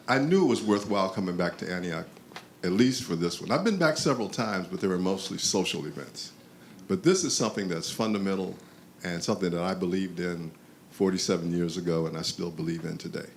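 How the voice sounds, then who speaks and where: An older man near retirement, reminiscing on an alumni panel in a relaxed, rambling way. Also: sits low, fairly quick, slight background noise.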